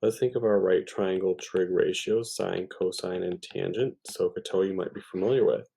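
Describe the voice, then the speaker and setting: A man's voice: low voice, fairly even intonation, normal speed, gravelly, mellow, slightly rough. A man tutoring math, talking through a problem step by step in an informal way, thinking aloud with hesitations and self-corrections.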